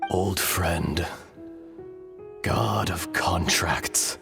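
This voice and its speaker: A man's voice: low-pitched, extremely slow, gravelly, exaggerated voice. A man voicing a grave, weary, fatalistic ancient earth dragon spirit in a video game, with a solemn and archaic delivery. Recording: music behind the voice.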